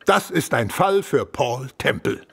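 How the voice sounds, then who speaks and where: Ein Schauspieler spielt in einem Hörspiel einen ernsten, eindringlichen Polizeichef mit belegter Stimme und Autorität. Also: male voice, medium pitch, animated, unhurried, gravelly, fairly bright tone, breathy edge, heavily acted. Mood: grave, urgent. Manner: authoritative, emphatic. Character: grave, urgent senior Scotland Yard chief. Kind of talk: German radio play acting.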